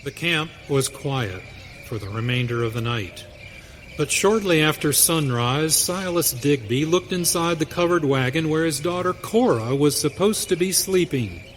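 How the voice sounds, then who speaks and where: A man's voice: fairly high pitch, animated, unhurried. A man narrating a western radio drama in a scripted storytelling style. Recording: reverberant hall, faint noise behind.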